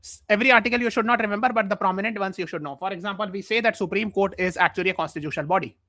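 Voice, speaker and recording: Fast-talking Indian-accented man teaching. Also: sits high, sharp tone, no room sound, clean studio sound. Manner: explanatory, repeats points, checks with questions. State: mildly feverish. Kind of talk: live online exam-prep quiz class.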